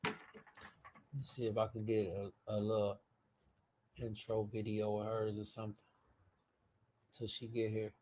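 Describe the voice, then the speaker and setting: A man's voice: lowish voice, level tone, measured pace, pauses often, darkish tone, a little hiss or hum. A man hosting a live stream, chatting casually and informally with his viewers, with trailing asides. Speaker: live stream show host.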